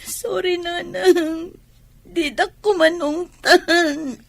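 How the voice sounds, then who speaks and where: A very high, slow female voice with a Filipino accent, an actress in role. She plays a sickly young daughter in a radio drama, meek and apologetic. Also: fairly even intonation, vocal fry, no room sound, exaggerated voice. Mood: tearful, ashamed.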